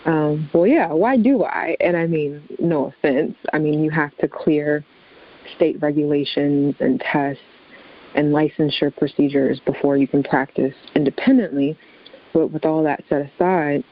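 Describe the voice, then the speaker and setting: American woman explaining, slightly dull lo-fi audio. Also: low voice. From southeastern Virginia, USA. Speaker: interview guest, therapist. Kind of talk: conversational interview answers.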